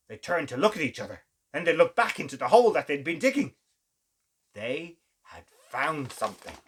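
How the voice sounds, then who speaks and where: Male kids' story host, lively swooping delivery, slightly raspy tone, theatrical pauses. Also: fairly high pitch. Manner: exclamatory, theatrical, with dramatic pauses and gasps. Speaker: children's story reader, show host. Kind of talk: animated children's storytelling.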